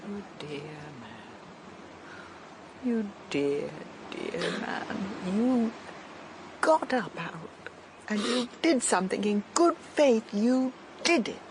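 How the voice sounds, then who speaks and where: An actress playing a frail, loving elderly woman near death in a radio drama, speaking in soft, halting, tender phrases. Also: mid-range voice, lively intonation, noisy background. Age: older. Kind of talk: BBC radio drama acting.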